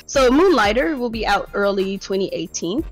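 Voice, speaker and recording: A woman's voice: mid-range voice, expressive, moderate pace, soft background music, rough recording. A woman hosting a casual game talk show, blunt and swearing freely. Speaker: podcast host. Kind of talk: casual chat about games.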